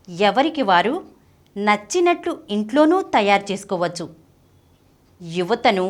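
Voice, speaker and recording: Woman presenting, mid-pitched voice. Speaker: presenter of a women's fashion channel. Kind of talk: scripted presenting and narration addressed to viewers.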